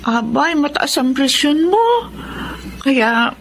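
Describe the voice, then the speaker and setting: A Filipino woman playing an older, devoted and anxious vendor's wife in a radio drama, fretful and expressive. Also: high voice, slow pace, full character voice. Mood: gentle, worried. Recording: noisy background ambience.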